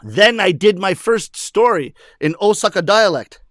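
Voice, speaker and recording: A man performing comedy, telling stories to an audience in English, his first language, and shouting out the voices of the Japanese ladies he meets. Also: high voice, animated, unhurried, no room sound, clean studio sound. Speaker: rakugo comedian. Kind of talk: stand-up storytelling to an audience.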